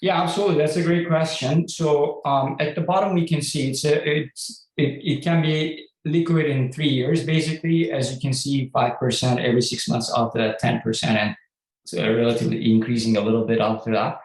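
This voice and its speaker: A man's voice: medium pitch, steady tone, a little fast. A man from Turkey, a company CEO answering conference questions in a hesitant, explanatory way with many restarts. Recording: echoey room.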